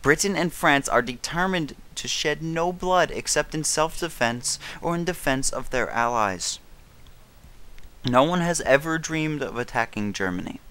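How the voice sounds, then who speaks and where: Man acting a bright-voiced, declamatory wartime British statesman. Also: medium pitch, clear, resonant tone, close to an everyday voice. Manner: declamatory, emphatic, dramatic stresses, occasional stumbles. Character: grave, defiant elder British statesman making wartime broadcast. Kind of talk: 1930s wartime political radio address, oratorical.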